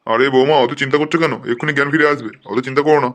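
A man's voice: medium pitch, steady tone, rapid-fire, a bit bright, close to an everyday voice. A man voicing a homely old grandfather in a comic cartoon, fussing and insistent. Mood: soothing. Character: nagging, protective, uneducated old grandfather. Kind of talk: comic cartoon dubbing in colloquial Bengali.

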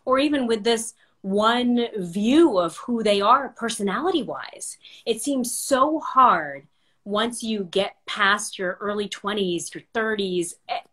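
Expressive woman hosting, medium pitch. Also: slow. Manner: expressive, conversational. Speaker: interviewer, host. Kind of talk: conversational interview. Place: home room with bookshelves, video call.

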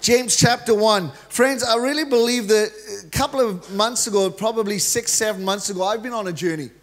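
A middle-aged man with a Welsh accent delivers an energetic, humorous sermon, his voice sitting notably high for a man. He speaks into a handheld mic on a large church stage. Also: a little fast. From Wales. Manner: energetic, joking preacher, gestures freely while pacing the stage. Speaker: guest preacher.

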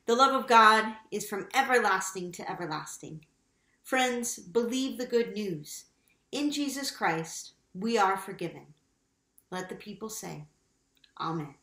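A young woman leading a church confession prayer in a measured, earnest way, recorded on a webcam in a small room at home. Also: medium pitch, expressive, bright, forceful tone, roomy sound. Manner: measured, earnest liturgical reading. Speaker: worship leader.

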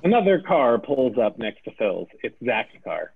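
A man reading the scene and stage directions aloud at a table read, in a plain, brisk way. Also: medium pitch, very animated, gravelly, slightly rough. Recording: tinny phone-like sound.

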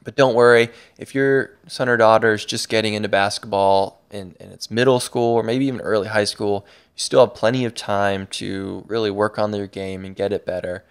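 A man's voice: lowish voice, fairly even intonation, moderate pace, clear, resonant tone. A man narrating an online course over slides, upbeat and a little rambling, with frequent restarts. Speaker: course instructor and website creator.

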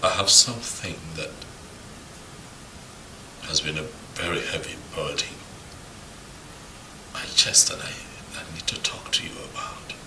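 An actor playing a burdened man in a film drama, halting and breathy as he pleads. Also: very deep voice, steady tone, recorded from a distance. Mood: hesitant, burdened. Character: burdened husband, pleading, hesitant to confess. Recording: echoey, noisy room, off the mic.

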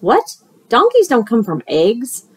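A woman doing a brisk, startled hare. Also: fairly high pitch, huge pitch swings, breathy edge, no room sound, pitched above the performer's own voice, exaggerated voice. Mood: incredulous. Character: busy jogging male hare, brisk and surprised.

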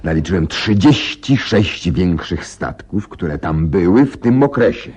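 A determined detective in a radio play, low husky voice, very self-assured, coolly vengeful. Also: male voice, expressive, darkish tone, muffled recording, exaggerated voice. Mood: triumphant, explaining. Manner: deliberate, self-assured, dramatic. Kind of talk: Polish radio drama acting.

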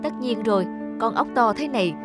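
An actress playing a blunt, pushy middle-aged village woman in an animated folk tale, matter-of-fact and scornful. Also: fairly high pitch, fairly quick, natural voice. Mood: coaxing, insistent. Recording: music under the voice.

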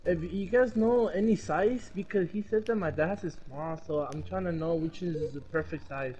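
A man's voice: fairly high pitch, normal speed, highs cut off, a little hiss or hum. A young man making a rambling, profane prank call while gaming. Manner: rambling, profane, bursts into shouting. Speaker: vlogger and prank caller. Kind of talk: casual prank call chat.

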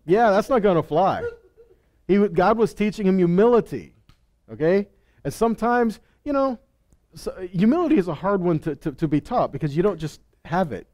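A man in his thirties teaches scripture from a stage, with a fairly high voice. He talks casually, often asks his listeners questions and acts out the people he quotes. He speaks into a headset mic. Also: expressive, normal speed, darkish tone. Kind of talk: Bible teaching. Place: stage with purple lights.

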